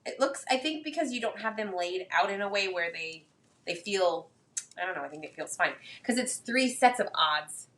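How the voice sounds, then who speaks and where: A woman co-hosts a craft live stream with a bright voice that swings up and down a lot. She chats in an animated, giggly way. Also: mid-range voice, moderate pace, recorded from a distance. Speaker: co-host. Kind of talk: casual live stream chat while card making.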